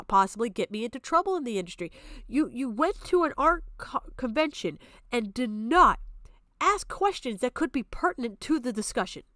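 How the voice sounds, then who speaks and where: Exasperated, high-voiced woman ranting on stream. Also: sharp tone, no echo, clean studio sound. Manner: exasperated, blunt, confrontational, sweary. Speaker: streamer reacting to a video. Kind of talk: off-the-cuff argumentative stream commentary.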